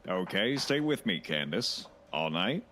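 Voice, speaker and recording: A man playing a very tall, boastful detective and sausage artist in a comedic audio drama, speaking formally and deadpan. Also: sits low, measured pace, a little hiss or hum, heavily acted. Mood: probing, firm.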